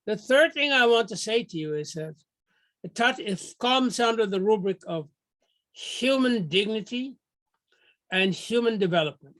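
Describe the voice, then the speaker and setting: An older man from Guyana giving a measured, formal lecture over a video call, often restarting his phrases. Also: high voice, lively intonation, a bit bright, dry recording, rough recording. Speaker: guest lecturer.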